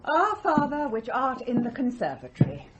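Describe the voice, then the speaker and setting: An actress playing a tycoon's grown daughter in a radio drama, weary, dry and ironic. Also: female voice, high voice, wildly swooping pitch, moderate pace, dull audio, background noise. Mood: mocking.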